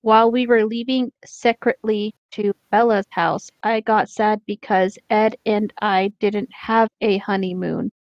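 Slow-reading woman on a dull mic. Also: mid-range voice, steady tone, dry recording. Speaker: co-host reader. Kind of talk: casual group read-aloud of fan fiction. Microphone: own mic on an online voice chat. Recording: dull lo-fi online voice chat.

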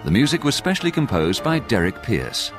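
A man reading scripted closing credits as a radio announcer, formal and measured. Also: medium pitch, sing-song, music in the background.

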